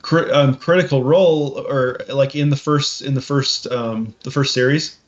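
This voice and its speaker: A man in casual conversation as a podcast co-host, halting and often repeating himself. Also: medium pitch, fairly even intonation, lo-fi sound. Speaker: podcast co-host reacting to a video. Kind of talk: casual chat.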